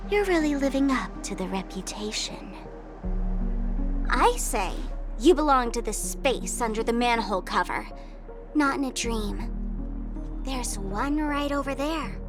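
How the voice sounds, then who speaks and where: A woman's voice: on the high side, lively intonation, unhurried, over music. A woman playing a sly, proud young female trickster in a video game, teasing and scornful in a theatrical way. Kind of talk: English video game voice acting.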